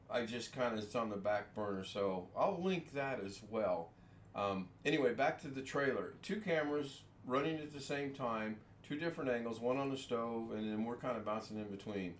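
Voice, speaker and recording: A man's voice: medium pitch, slight background noise. A man hosting a casual home livestream, rambling from topic to topic, making fun of himself and chuckling often. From United States. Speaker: livestream host. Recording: echoey room with faint ambience.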